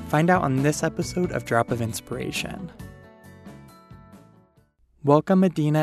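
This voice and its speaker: Friendly mid-pitched male podcast host, faint music bed. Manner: friendly, attentive, encouraging listener. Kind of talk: podcast interview.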